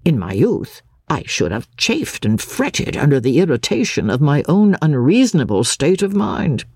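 A woman voicing an elderly gentleman lawyer who narrates a classic novel in a formal, deliberate, self-assured manner. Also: low-pitched, very animated, husky, no echo, studio quality, heavily acted. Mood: reflective. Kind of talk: first-person literary narration.

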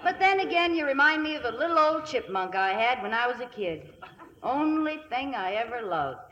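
Brash high-voiced frontier gal. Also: female voice, big pitch swings, normal speed. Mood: blunt fondness. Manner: blunt, folksy western slang. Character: brash, rough-talking frontier woman with a soft spot. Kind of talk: 1950s radio comedy acting. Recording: muffled, noisy 1950s radio broadcast.

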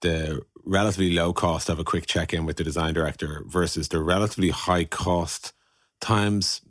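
A man's voice: low voice, steady tone, moderate pace, no echo, clean studio sound. A man co-hosting a podcast conversation, putting probing questions in a blunt, wry, casual way.

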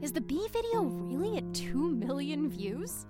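A woman playing an eager, earnest flat-earth video maker in an audio drama, talking in a casual, exclamatory way. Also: high-pitched, unhurried. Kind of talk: audio drama acting, conversational. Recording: music bed behind the voice.

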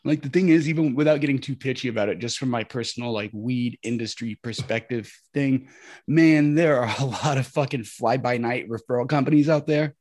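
A man co-hosting a casual podcast with a raspy, fry-heavy voice, swearing freely and clearing his throat. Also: mid-range voice, a little fast, a little mellow, no echo, clean studio sound. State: high on cannabis. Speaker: podcast co-host. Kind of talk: casual chat.

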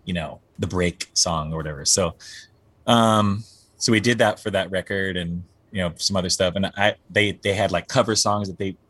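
Low husky man rambling in a dry booth. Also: normal speed, a bit bright, dry recording. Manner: rambling, with many fillers and restarts. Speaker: guest musician, band singer. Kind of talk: casual interview chat.

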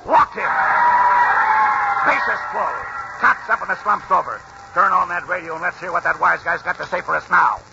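A man's voice: sits high, moderate pace, vocal fry, raised voice, loud music behind the voice. A man playing a fretful, slangy baseball sidekick in a 1940s radio comedy-drama. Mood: hopeful. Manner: worrying, slangy, protesting. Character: fretful male baseball sidekick, exasperated and doubting. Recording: noisy, muffled 1940s radio recording.

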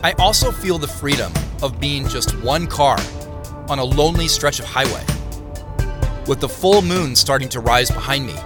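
Guy playing a thoughtful male narrator in an audio drama, measured descriptive storytelling, expressive pitch, music behind him. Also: close to an everyday voice. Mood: reflective. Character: reflective man narrating his own investigation. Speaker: narrator and lead character. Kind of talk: first-person scripted audio drama narration.

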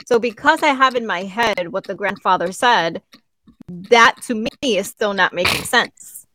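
A woman's voice: mid-range voice, measured pace, sharp tone, dry recording, rough recording. A woman whose first language is Spanish, co-hosting a casual podcast, frank and opinionated as she teases her co-host. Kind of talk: casual chat.

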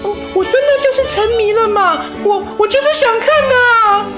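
Spoiled schoolgirl daughter played by a woman for comic radio drama: extremely high, childish, wheedling, over loud music. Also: fairly quick. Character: spoiled whiny schoolgirl daughter pleading with father.

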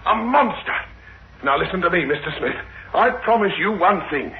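An actor playing a desperate, threatening father, accusing and forceful, in 1960s radio horror drama style. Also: high-pitched, lively intonation, normal speed. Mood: desperate anger. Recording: tinny, band-limited old radio recording.

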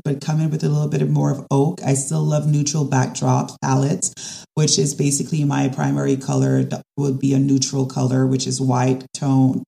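A woman, a design specialist talking through her ideas in a casual interview, often pausing and restarting. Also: low-pitched, creaky, warm tone. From Caribbean. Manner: thoughtful, with frequent restarts and fillers. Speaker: design and construction specialist guest.

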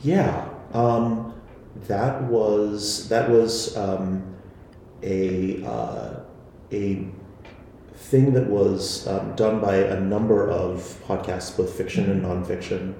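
A man's voice: low-pitched, slow pace, creaky, dark tone, a little hiss or hum. A man being interviewed about his podcast, talking hesitantly with many fillers and restarts. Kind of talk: casual interview answers. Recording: very echoey room.

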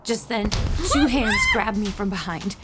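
Actress as a frightened, animated woman narrating her thriller. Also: mid-range voice, normal speed, loud music behind the voice, lightly acted. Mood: tense, urgent. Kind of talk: first-person dramatic audio fiction narration. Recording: noisy sound-effect ambience behind voice.

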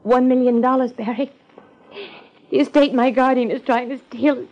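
High-voiced, evasive young radio heiress. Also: female voice, steady tone, normal speed, a little mellow. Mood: pleading. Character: soft-hearted-seeming, evasive young heiress in hiding. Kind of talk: 1950s radio drama acting. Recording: muffled old radio drama.

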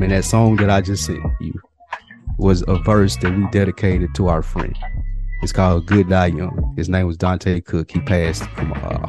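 A man co-hosting a podcast in casual, slangy conversation, often repeating phrases for emphasis. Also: low-pitched. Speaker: podcast co-host. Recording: music behind the voice. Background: music.

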